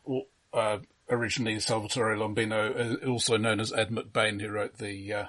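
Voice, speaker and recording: A man co-hosting a casual film discussion, explaining analytically in a halting way, often repeating words. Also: on the low side, level tone, a bit bright. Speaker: podcast co-host.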